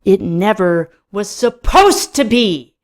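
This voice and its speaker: A woman's voice: medium pitch, very animated, slow pace, pitched above the performer's own voice. A woman voicing a desperate, sneering young man in an audiobook, with insistent, emphatic delivery. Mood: bellowing anger.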